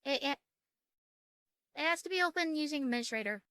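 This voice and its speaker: A woman hosting a gaming video, chatting casually and reading game text aloud in a halting way that repeats words. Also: sits high, animated, bright, forceful tone.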